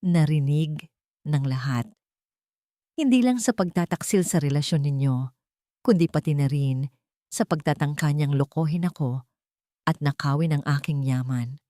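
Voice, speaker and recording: Stern rich businessman, read by a woman, lowish. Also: unhurried, pauses often, mellow, no room sound, clean studio sound. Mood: grave, indignant. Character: powerful, stern wealthy businessman exposing a betrayal. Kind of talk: audio storybook dialogue.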